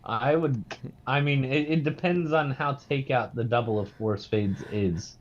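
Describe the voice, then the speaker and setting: A man giving measured, analytical bridge commentary with dry quips. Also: mid-range voice, highs cut off, slight background noise.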